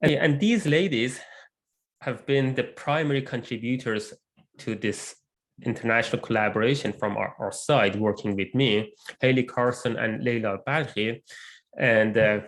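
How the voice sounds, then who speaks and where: A man who is not a native English speaker, presenting his research group's work in an online meeting, measured and with frequent fillers. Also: medium pitch. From immigrant to Canada. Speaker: research lab lead presenting. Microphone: computer mic on video call.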